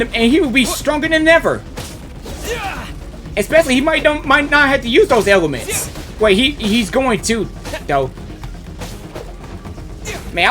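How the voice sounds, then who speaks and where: A man doing casual, slangy, excitable live reaction commentary as a game streamer. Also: extremely high pitch.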